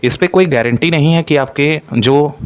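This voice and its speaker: A man's voice: on the high side, normal speed, clean tone. A man speaks as an exam-coaching presenter, explaining an update to candidates and leaning on repeated stock phrases.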